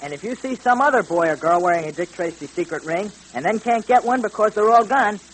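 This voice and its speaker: A man's voice: high-pitched, animated, fairly quick, a bit bright, husky, full character voice, low-quality recording. A male performer playing an eager, earnest boy in a sponsor spot on an old radio serial. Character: eager, earnest boy, detective's young ward. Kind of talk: 1930s radio ad acting. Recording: hissy old radio recording.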